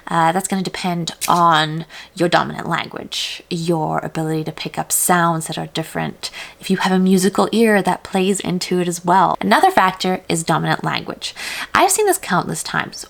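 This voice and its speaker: Low gravelly-voiced woman vlogger. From South Africa. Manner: chatty, upbeat, direct to viewer. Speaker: vlogger giving accent advice. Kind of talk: casual advice to camera.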